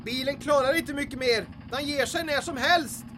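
An actor playing a hapless, fretful, chatty sidekick in an audio drama, with a whiny comic manner. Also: male voice, very high-pitched. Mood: panicky. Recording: noisy vehicle ambience behind voice.

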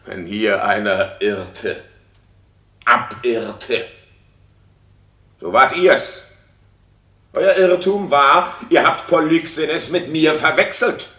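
Jealous tyrant king, slow, biting declamation, piercingly forceful, classical radio drama. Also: mid-range voice, echoey room. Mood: furious accusation. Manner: declamatory, scornful, cutting. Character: jealous, raging king accusing his wife. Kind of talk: classical German radio play stage acting.

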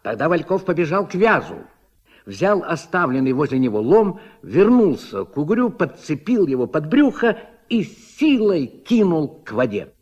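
A man giving measured, expressive literary narration in the style of 1970s Soviet radio drama. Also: fairly high pitch. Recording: dull, faintly noisy old radio recording.

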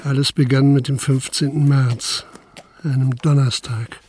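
An actor playing a dutiful, deferential Prussian police officer around 1900 in a radio drama, speaking in a measured, formal way. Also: male voice, medium pitch, level tone, warm tone, breathy edge. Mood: sombre, reflective. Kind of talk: audio drama narration and dialogue.

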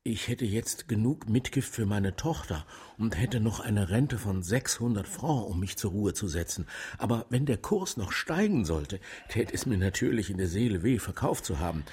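A humble, ageing male servant in a radio drama. His voice is fairly low and husky, and he speaks with anxious, hesitant deference. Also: lively intonation, moderate pace, a little mellow. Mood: anxious, deferential.

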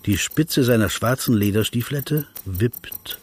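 An actor playing a reserved, observant interviewer who narrates his inner thoughts in a literary radio play, in short measured phrases. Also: medium pitch, breathy edge. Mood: pensive, observing.

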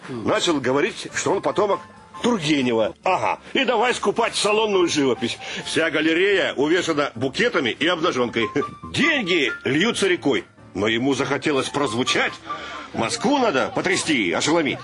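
An amused, gossipy storyteller in a radio drama. His rather high voice moves up and down expressively over music, in an ironic conversational way. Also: sing-song, normal speed. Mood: wry. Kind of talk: radio play acting.

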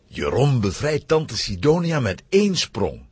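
A man narrates a scripted children's story with dramatic flair. His husky voice moves in lively swoops and sounds dry and close. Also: mid-range voice, unhurried, no room sound.

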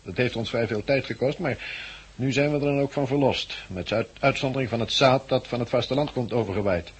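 An actor playing a friendly helicopter pilot recruiting survivors, with measured, courteous explanations in 1960s Dutch radio drama style. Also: male voice, medium pitch, a little hiss or hum, natural voice.

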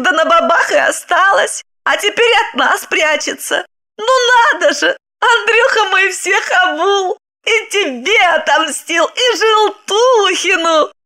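A shrieking, brassy wife. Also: female voice, extremely high pitch, bright, forceful tone, voice pushed up, full character voice. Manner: shrieking, mocking, cackling. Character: shrill scornful middle-aged wife, brassy. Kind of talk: audiobook character reading.

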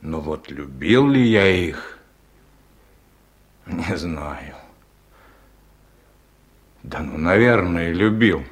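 An actor playing a weary, honest older collective-farm chairman in a radio play, husky, reflecting in a halting, solemn way. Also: deep voice, animated, full character voice. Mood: searching, weary. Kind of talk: theatrical radio play monologue.

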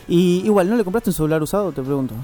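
A man's voice: high voice, lively intonation, racing, mellow. A man with an Argentine accent trading casual banter as a co-host on a radio show. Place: radio studio. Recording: music clearly playing behind the voice.

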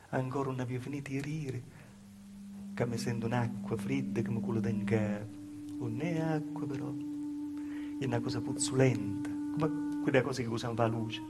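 Man playing a plaintive Calabrian village woman, gravelly. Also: mid-range voice, natural voice. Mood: wry, confiding. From southern Italy, Calabria. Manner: plaintive, repetitive storytelling with swings in intensity. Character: humble, wronged southern Italian village woman recounting her suffering. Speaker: stage actor performing a monologue. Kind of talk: theatrical monologue in dialect. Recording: live stage performance with music. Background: live music.

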